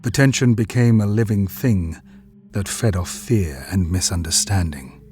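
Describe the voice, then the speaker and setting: A man narrating a scripted drama in a grave, deliberate storytelling manner. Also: deep voice, darkish tone, faint music behind.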